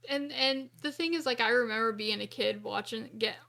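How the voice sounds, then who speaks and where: A woman co-hosting a podcast in casual chat, haltingly repeating words as she goes. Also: a little high, creaky, a loud crowd. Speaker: podcast co-host.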